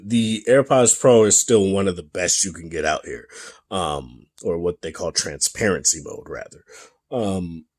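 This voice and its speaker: A young man with a deep, bassy voice giving a casual, chatty tech review, full of fillers and restarts. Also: a little mellow. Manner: laid-back, chatty, many fillers and restarts.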